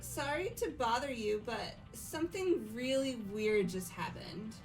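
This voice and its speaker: A timid teenage schoolgirl from a visual novel game, played by a woman. Her voice is very high, sweet and hesitant, with music playing faintly behind it. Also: very animated, a little slow. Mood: frightened. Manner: hesitant, apologetic.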